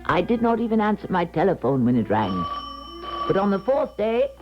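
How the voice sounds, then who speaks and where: A woman's voice: mid-range voice, sing-song, moderate pace, music in the background, natural voice. An actress playing an intense clairvoyant who tells her tale formally and theatrically in a 1970s radio drama. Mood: grave, reflective. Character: Madam Sonia, intense female clairvoyant telling her own tale. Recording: dull 1970s radio broadcast recording.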